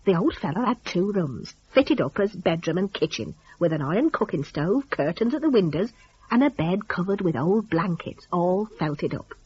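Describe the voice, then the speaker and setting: A woman's voice: on the low side, lively intonation, moderate pace, breathy edge, highs cut off. A woman telling a story dramatically, in a folksy, conversational rustic idiom.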